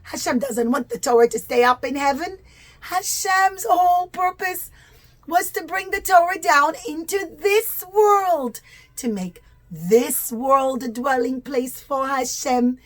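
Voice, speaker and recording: A woman's voice: high voice, unhurried. A woman telling a story to young children in simple, repetitive, emphatic phrases. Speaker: children's puppet show storyteller.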